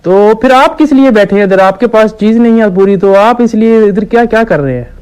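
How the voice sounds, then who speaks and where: Man putting on a simple village shopkeeper, high clear voice, deadpan, hesitant, stubbornly naive, prank phone call. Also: close to an everyday voice. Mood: naively stubborn. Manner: slow-witted, hesitant, naively persistent. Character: dim, stubborn village shopkeeper ordering absurd medicine quantities. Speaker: prank caller.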